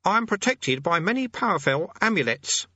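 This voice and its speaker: A man's voice: sits high, big pitch swings, moderate pace, sharp tone, slightly rough, dull audio, pitched above the performer's own voice, exaggerated voice. A man voicing a short, nervous, bookish demon summoner who blusters in pompous archaic language in an audiobook. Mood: nervous warning. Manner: pompous mock-archaic incantations, faltering. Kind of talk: audiobook character voice.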